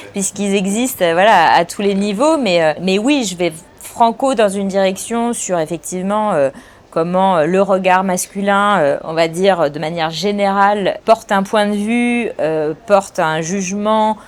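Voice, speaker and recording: A woman in her forties, a film director, answering interview questions thoughtfully with frequent hesitations and restarts. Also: mid-range voice.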